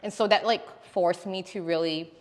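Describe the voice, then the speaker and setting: A woman chatting casually and telling personal anecdotes in an animated, giggly way, saying "like" often. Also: fairly low pitch, normal speed. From born in Singapore, moved to the US as a child. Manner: animated, giggly, peppers speech with "like". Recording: reverberant hall-like room.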